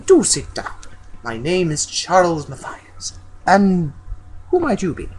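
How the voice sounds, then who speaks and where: A man's voice: sits high, wildly swooping pitch, takes it slowly, a little hiss or hum, exaggerated voice. A man voicing a small, busy, polite rat-man writer in a theatrical story reading. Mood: polite, chatty.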